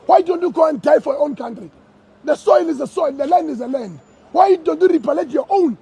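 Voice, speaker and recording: A man's voice: extremely high pitch, animated, brisk, warm tone. A man with a South African accent in a heated public confrontation, agitated and stammering over repeated words. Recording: noisy crowd around the speaker. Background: crowd of voices.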